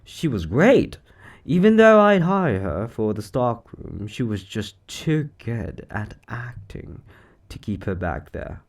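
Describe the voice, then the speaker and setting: A man playing an upbeat, quirky boss of a mysterious shop, chatting casually in an animated, hesitant way in a roleplay audio. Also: medium pitch, takes it slowly, exaggerated voice. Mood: chatty, wistful.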